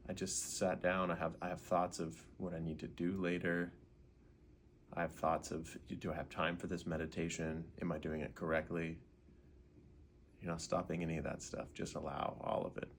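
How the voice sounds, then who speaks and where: A man leading a guided meditation in an unhurried way, gently asking questions and leaving pauses. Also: low voice, flat delivery, slight background noise.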